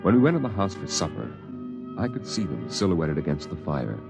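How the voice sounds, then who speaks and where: A man giving calm, measured first-person narration in a 1950s radio drama. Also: extremely low pitch, vocal fry. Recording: dull old radio recording with music.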